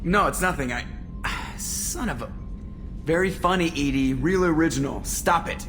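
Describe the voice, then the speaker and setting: An actor playing a wisecracking, irritable human pilot in a video game, casual and sarcastic. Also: male voice, fairly high pitch, moderate pace, a bit bright, a little hiss or hum, close to an everyday voice. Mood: annoyed, sarcastic.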